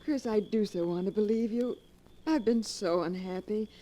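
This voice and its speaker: An actress playing a vain, yearning young woman in a 1940s radio drama, breathy and sighing. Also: medium pitch, normal speed, warm tone, a little hiss or hum, lightly acted. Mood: lovestruck.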